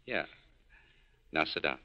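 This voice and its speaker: An actor playing a cool, cynical nightclub owner in clipped, hard-boiled 1940s radio style. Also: male voice, takes it slowly, bright, forceful tone, dull audio. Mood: firm. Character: tough, cool cynical male nightclub owner scheming an escape. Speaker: lead actor in a radio drama.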